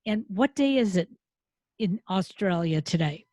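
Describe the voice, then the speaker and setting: A woman hosts a podcast interview and welcomes her guest with friendly enthusiasm. The recording is dry and close, with no room sound. Her pitch is ordinary. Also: expressive, a little slow, mellow, dry recording. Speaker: podcast host. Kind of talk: interview hosting.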